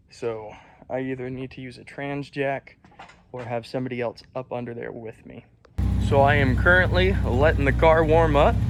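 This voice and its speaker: A man giving a casual how-to walkthrough of a car repair in a home garage, explaining each step in a relaxed way with filler words. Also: mid-range voice, noisy background. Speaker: car mechanic vlogger. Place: home garage under a car on jack stands.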